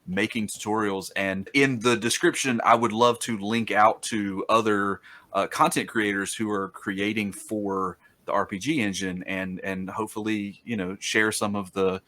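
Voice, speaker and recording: A man in his thirties hosting an interview over a video call, halting and repeating words as he affirms his guest. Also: on the low side, steady tone, bright, forceful tone. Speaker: host. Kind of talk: casual interview talk.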